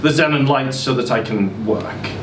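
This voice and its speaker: A man performs a short story at a live reading in an expressive storytelling voice, pitched around the middle for a male. He occasionally trips on a word. The mic is some way off in a roomy indoor space, with steady background noise clearly audible. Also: normal speed, recorded from a distance. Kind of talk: live dramatic reading of first-person fiction. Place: indoor social venue, live event.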